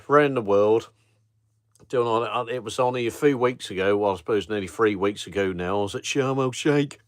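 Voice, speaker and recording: A man's voice: lowish voice, no room sound. Man, Norfolk accent, middle-aged, rambling and chuckling, close dry sound. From Norfolk, England. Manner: chatty, rambling, with frequent ums and chuckles. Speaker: YouTube travel vlogger hosting a live chat. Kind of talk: casual live-stream chat with viewers. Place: home at night, streaming on a phone. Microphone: phone held in portrait mode.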